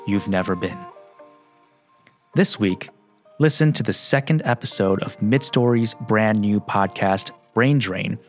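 Reflective low-voiced male radio host. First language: Mandarin. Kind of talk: scripted reflective narration.

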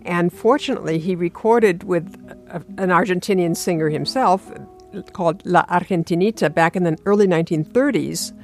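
A woman with a somewhat low voice speaks as an interview guest and talks about her craft in a measured, articulate way. Faint music plays softly underneath her. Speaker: classical guitarist, interview guest. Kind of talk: interview conversation.

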